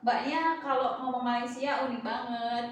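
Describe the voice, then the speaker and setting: High-voiced young woman hosting, very echoey. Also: flat delivery, normal speed. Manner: informal, chatty, addresses viewers. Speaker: host of a YouTube channel, interviewer. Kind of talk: casual vlog interview. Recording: echoey room, distant mic, faint noise.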